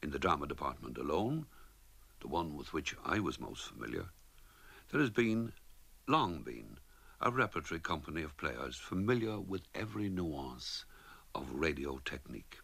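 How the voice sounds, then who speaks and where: A man's voice: extremely low pitch, very animated, moderate pace, creaky, a bit bright, slightly rough, no echo. A man reading a wry, literary reflection. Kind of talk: read reflective essay.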